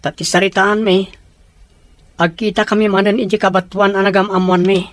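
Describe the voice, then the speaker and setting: Woman voicing a reluctant young man, middle pitch, exasperated, earnest, audio drama acting. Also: flat delivery, a little fast, no room sound, natural voice. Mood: worried.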